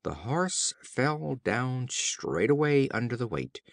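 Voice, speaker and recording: A man narrating children's fables for an audiobook in a measured, formal storytelling manner. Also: medium pitch, big pitch swings, creaky, no echo, clean studio sound.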